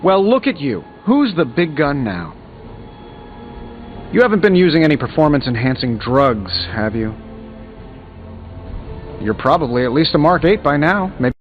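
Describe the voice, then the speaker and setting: An actor playing a cocky billionaire inventor superhero in a video game, glib and sarcastic with playful quips. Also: male voice, fairly high pitch, big pitch swings, moderate pace, pauses often, dull audio. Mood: playfully smug. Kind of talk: video game character dialogue, quippy banter. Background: music.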